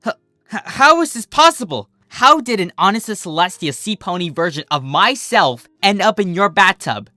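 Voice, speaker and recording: Narrator voicing an exasperated, bright-toned female cartoon unicorn. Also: medium pitch, sing-song, moderate pace, dry recording, faint music behind, voice pushed up. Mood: shocked.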